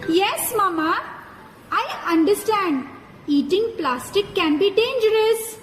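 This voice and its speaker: A woman's voice: extremely high pitch, lively intonation, takes it slowly, exaggerated voice. A woman voicing an eager, curious young child in a children's puppet show. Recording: echoey hall, background noise.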